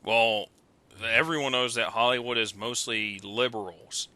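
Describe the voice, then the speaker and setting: A man with a strikingly bright, forceful voice and middle pitch chats on a headset over an internet call. He sounds casual and opinionated, as if talking with friends. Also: steady tone, a little slow, no room sound. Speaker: participant in a recorded online voice chat. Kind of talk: casual chat. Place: recorded Skype call. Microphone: headset in an online voice call.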